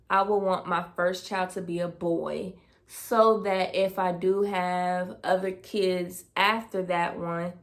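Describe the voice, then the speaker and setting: Slow easygoing girl in her 20s, chatting thru a q&a tag, lots of ums. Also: lowish voice. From Dayton, Ohio, US. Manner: relaxed, thinks aloud with fillers. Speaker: vlogger. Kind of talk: casual Q&A tag video.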